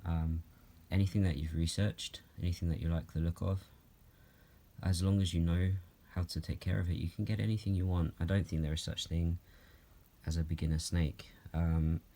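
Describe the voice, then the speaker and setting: Mellow low-voiced Norwegian-born man, unhurried. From Norway. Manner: calm, unhurried, frequent ums and soft laughs. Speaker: reptile keeper answering viewer questions. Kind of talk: relaxed Q&A vlog. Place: at home, handling snakes while filming.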